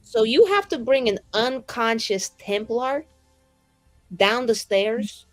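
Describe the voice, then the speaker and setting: A woman's voice: fairly high pitch, lively intonation, slow pace, dry recording, poor audio. A woman in casual roleplay chat as a tabletop game player, with a flippant, rambling, slangy way of talking. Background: background music.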